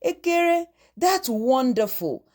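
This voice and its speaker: Woman voicing a high-pitched, proud, loving father. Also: very animated, slow pace, darkish tone, full character voice. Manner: affectionate, encouraging.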